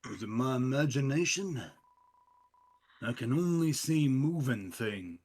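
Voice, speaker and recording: Game monster role: menacing watchdog , performed by a man, husky and unhurried, suspicious of everything. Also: medium pitch, animated, a little mellow. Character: suspicious guard dog monster, menacing, twitchy. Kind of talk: live game dub.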